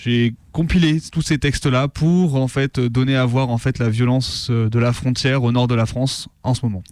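A man's voice: mid-range voice, steady tone, normal speed, a little mellow, no echo, clean studio sound. A man who presents texts he compiled and reads them aloud, hesitant with fillers when he talks freely. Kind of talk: reading testimonies aloud.

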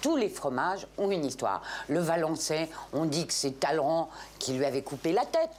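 A woman's voice: deep voice, sing-song, normal speed, a little mellow. A woman cheesemonger telling the history of a cheese in an interview clip. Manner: storytelling, knowledgeable.

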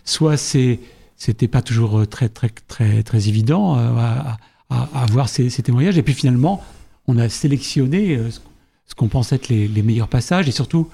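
A man talking as a guest in a casual radio interview, halting and repeating his words. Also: mid-range voice, creaky, dark tone, breathy edge. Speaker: radio guest, project member.

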